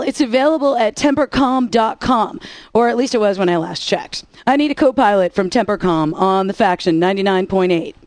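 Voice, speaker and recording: A woman's voice: mid-range voice, no echo, close to an everyday voice. An actress playing a wry, upbeat alternative-rock radio DJ woman in a video game, introducing songs with sarcastic patter. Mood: playful, wry.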